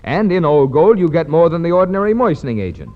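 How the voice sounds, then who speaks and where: A man announces a 1940s radio commercial with a polished, emphatic pitch. His voice is slightly high and swings very widely in intonation. The old recording is muffled, with a long hall reverb. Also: very animated, quick pace. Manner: polished, emphatic salesman delivery.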